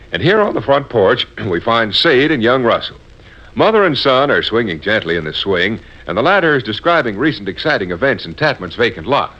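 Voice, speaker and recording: A man's voice: fairly low pitch, pushed and bright. A man narrating as a 1940s radio announcer, setting scenes in a folksy way. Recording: dull old radio broadcast recording.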